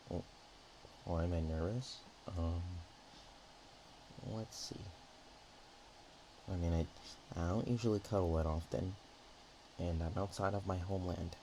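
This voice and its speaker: A man playing a naive, nervous, affectionate dragon in a roleplay ASMR, talking in a hesitant, rambling, halting way. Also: low-pitched, background noise. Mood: shy, nervous.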